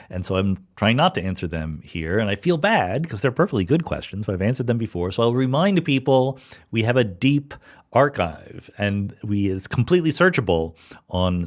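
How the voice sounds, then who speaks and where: A man's voice: on the low side, a little mellow. A man hosting a podcast, giving informal, off-the-cuff explanatory answers and qualifying his points as he goes. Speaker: podcast host. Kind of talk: off-the-cuff spoken answers to listener questions.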